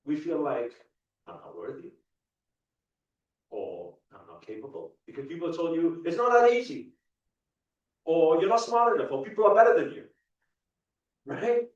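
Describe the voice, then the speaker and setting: A man's voice: fairly high pitch, recorded from a distance. Man speaking on stage in an echoey hall. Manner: earnest, audience questions, lots of restarts and rising emphasis. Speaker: motivational speaker. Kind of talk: live stage talk to an audience. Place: large indoor event hall. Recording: echoey hall, mic heard from afar.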